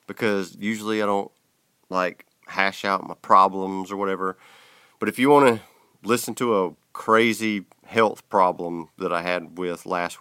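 A man's voice: lowish voice, clear, resonant tone, no echo. Male podcast host, easygoing and rambling, dry close recording. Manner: relaxed, filler-heavy Southern talk. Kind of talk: casual interview chat.

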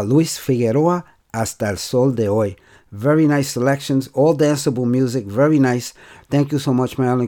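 A man's voice: mid-range voice, dark tone. A man hosting a radio music show, casually back-announcing the songs just played in an easygoing way with the odd filler. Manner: easygoing, drawn-out delivery with fillers. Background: faint salsa music.

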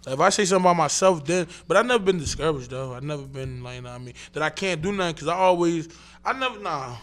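A young man in his twenties talks as a guest in a loose, animated way, full of slang and quick laughter. His voice sits high for a man. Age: 20s. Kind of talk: casual interview chat.

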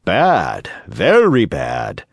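A man voicing a kindly, patient old father in a storybook, speaking in a gentle, earnest, moralizing way. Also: lowish voice, wildly swooping pitch, extremely slow, gravelly, husky, no room sound, studio quality, full character voice. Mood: mildly scolding.

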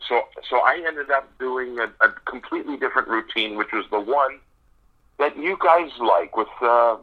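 A man telling stories as an interview guest, animated and laughing often in the middle of his sentences. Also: medium pitch, moderate pace, dull audio. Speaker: impressionist guest. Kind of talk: casual radio interview.

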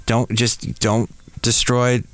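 A man's voice: mid-range voice, flat delivery, slow pace, a bit bright, no room sound. A middle-aged American man hosting a podcast, casually reminiscing with playful, self-mocking asides. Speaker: podcast host. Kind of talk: casual reminiscing commentary over old radio tapes.

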